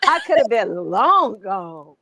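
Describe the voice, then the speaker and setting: A woman's voice: medium pitch, sing-song, slow, bright, forceful tone, no room sound. A woman in her sixties, a guest in a casual chat, teasing and laughing often. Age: 60s.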